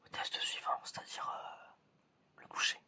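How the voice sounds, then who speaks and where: Whispering ASMR performer, hesitant and soft. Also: a little slow. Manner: hesitant, murmuring, repeats short phrases. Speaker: ASMR performer playing an examiner.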